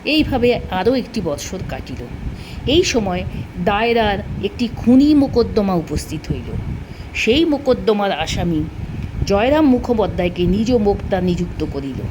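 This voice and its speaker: Female storyteller, mid-pitched voice, expressive literary narration, noisy ambient recording. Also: normal speed. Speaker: storyteller, reader of a short story.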